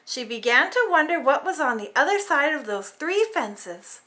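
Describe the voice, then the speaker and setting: A woman reading a children's storybook aloud in an animated, dramatic way for small children. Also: high voice, normal speed. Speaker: storybook reader. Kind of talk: expressive children's read-aloud narration.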